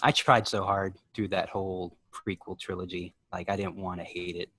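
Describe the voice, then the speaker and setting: Low-pitched man in casual chat. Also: flat delivery, moderate pace, a bit bright. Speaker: podcast co-host.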